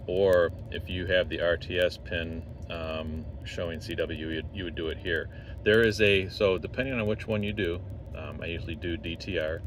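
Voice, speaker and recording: A man's voice: rumbling low, a bit bright. A man giving a casual, unscripted tutorial, thinking aloud as he explains things informally step by step. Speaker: amateur radio operator demonstrating contest setup. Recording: noisy vehicle interior, clear background noise.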